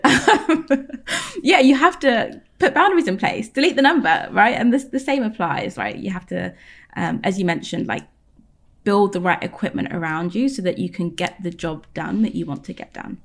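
A woman in her thirties, a podcast guest, speaking candidly and thinking aloud with frequent pauses and fillers. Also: medium pitch, animated. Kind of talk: casual interview chat.

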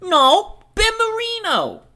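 A woman's voice: very high-pitched, huge pitch swings, takes it slowly, pushed and bright, pitched above the performer's own voice, full character voice. A woman playing a coy, breezy young woman from a stage musical, with a sing-song theatrical delivery. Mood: brisk, dismissive. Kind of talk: amateur stage script read-through.

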